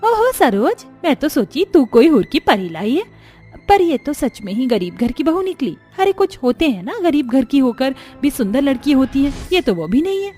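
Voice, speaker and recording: Woman playing a shrill, catty female neighbour. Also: very animated, moderate pace, mellow, soft background music. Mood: mocking. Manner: exaggerated, taunting. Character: catty, mocking female neighbour, snobbish and blunt.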